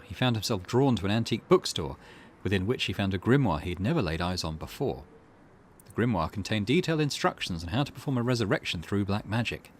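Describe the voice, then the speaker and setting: A man's voice: lowish voice, slight background noise. A man reading visual novel narration aloud as a gaming host.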